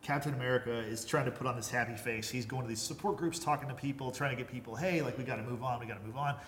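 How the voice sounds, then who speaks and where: A man's voice: medium pitch, racing, a bit bright. A man co-hosting a podcast, discussing a film casually in informal, slangy language. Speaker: podcast co-host. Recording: roomy echo, faint background noise.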